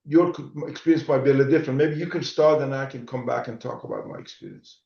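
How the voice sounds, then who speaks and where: A man's voice: mid-range voice, fast, vocal fry. A man co-hosting a podcast, chatting in an enthusiastic, rambling way with fillers. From Iran. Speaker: podcast co-host. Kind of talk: casual conversation. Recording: distant, roomy, dull sound.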